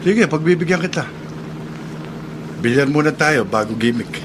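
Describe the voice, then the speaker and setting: A man's voice: on the high side, lively intonation, normal speed, noisy background, close to an everyday voice. An actor playing a bitter, heartbroken young man in a Filipino radio drama, sulky, stubborn and accusing. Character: bitter heartbroken young man, drinking, blaming his cousin. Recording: loud music behind the voice.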